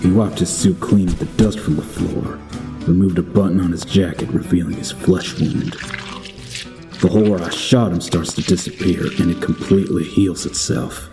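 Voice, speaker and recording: Male actor as grizzled cowboy bounty hunter, low voice, laconic, wry, audio drama narration, music underneath. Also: fairly even intonation, normal speed, close to an everyday voice. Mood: grim, tense. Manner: laconic, wry, tough-talking.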